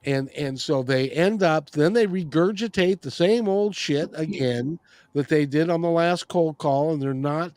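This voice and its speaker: A man's voice: a little high, a little slow, darkish tone, no room sound. A middle-aged man hosting a podcast, talking casually and rambling, with frequent restarts. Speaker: podcast and radio show host. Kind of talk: casual interview chat.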